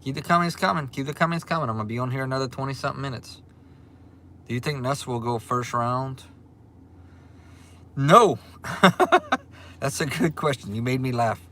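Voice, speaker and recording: Louisiana-accented man in his forties, live-stream host, relaxed, mid-pitched, faint background noise. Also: moderate pace, long pauses. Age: 40s. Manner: easygoing, repeats himself, frequent ums. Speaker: livestream host. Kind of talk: casual livestream chat reading viewer comments. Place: restaurant. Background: faint restaurant ambience.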